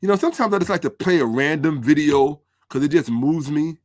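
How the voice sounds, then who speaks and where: A man's voice: a little high, expressive, fairly quick, no room sound. Man hosting a live commentary, dry close sound. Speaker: host of a commentary livestream. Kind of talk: live commentary, talking to viewers.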